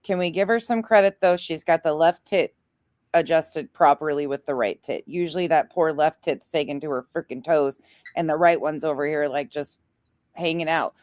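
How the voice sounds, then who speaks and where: A woman's voice: sits low, normal speed, darkish tone, no room sound, clean studio sound. A woman giving casual reaction commentary in a blunt, sarcastic, crude-talking way. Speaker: online show commentator.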